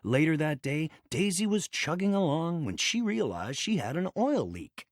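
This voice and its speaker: A man reading a children's read-along story as a scripted, dramatic and expressive narration. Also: fairly high pitch, normal speed, no echo, studio quality.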